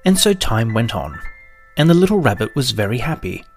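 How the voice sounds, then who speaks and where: A man's voice: mid-range voice, very animated, fairly quick, faint music behind. Man narrating a children's storybook.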